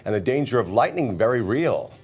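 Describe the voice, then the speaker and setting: A man's voice: medium pitch, sing-song, a little fast, a little mellow, slightly rough, faint music behind. A man with an American accent reading scripted news as a television anchor.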